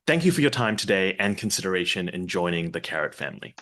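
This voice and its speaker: A man who is a company executive, delivering a scripted, prerecorded video message in a measured way. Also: low-pitched, big pitch swings, fairly bright tone. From New Zealand.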